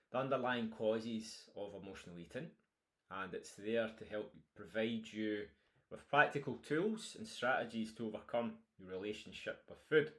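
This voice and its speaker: A Scottish man, a nutrition coach, talking informally through a recorded course introduction with many fillers and restarts. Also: mid-range voice.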